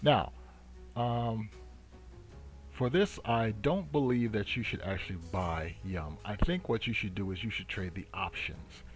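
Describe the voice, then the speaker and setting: A man with a fairly low voice gives unscripted market commentary as the host of his channel. He talks very slowly and deliberately, halting between phrases. Music plays faintly behind him.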